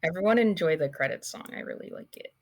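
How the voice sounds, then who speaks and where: A woman's voice: fairly low pitch, lively intonation, fast. A woman chatting casually with friends while playing through a video game. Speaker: game playthrough participant. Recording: faint game music behind the voice. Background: video game music.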